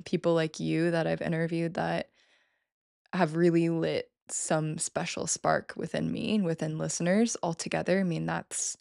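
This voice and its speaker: A woman's voice: sits low, gravelly, darkish tone, no room sound, studio quality. A woman guest in a casual interview, talking enthusiastically with many fillers and restarts. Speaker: podcast guest.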